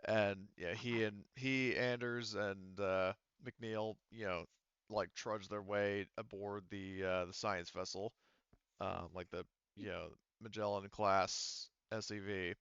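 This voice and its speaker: Male game master, smooth and fairly low, hesitant tabletop narration, dry dull recording. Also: steady tone, a bit bright, no echo. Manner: halting, hesitant, often trailing off and restarting.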